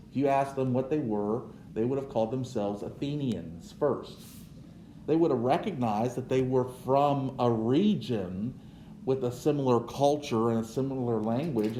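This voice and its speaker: A man giving an unhurried history lecture as a teacher, checking in with "right?" as he goes. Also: mid-range voice, warm tone, echoey room, slight background noise, poor audio. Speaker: social studies teacher.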